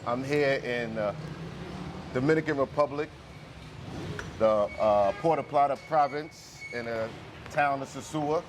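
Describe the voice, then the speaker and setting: A man's voice: mid-range voice, measured pace, long pauses. A man hosting a casual, informal interview in a laid-back way. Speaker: video host interviewer. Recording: noisy outdoor recording with traffic.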